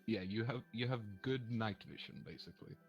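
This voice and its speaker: A man's voice: fairly low pitch, a little fast, highs cut off, faint music behind. A man acting as game master, narrating a tabletop game with many ums and uhs. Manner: hesitant, lots of ums and uhs.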